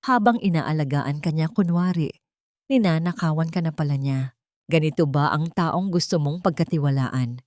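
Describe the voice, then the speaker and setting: A woman's voice: low voice, moderate pace, darkish tone, dry recording, clean studio sound, natural voice. A woman voicing a cold, gloating, wealthy female villain in an audio drama story, taunting and accusing. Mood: false concern.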